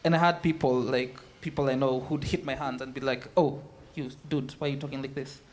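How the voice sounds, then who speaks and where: A man's voice: medium pitch, steady tone, brisk, darkish tone. A man from rural Kenya, talking candidly and self-consciously as a guest on stage. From Murang'a, rural Kenya. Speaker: audience guest. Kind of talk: candid personal talk on stage. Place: live event with an audience.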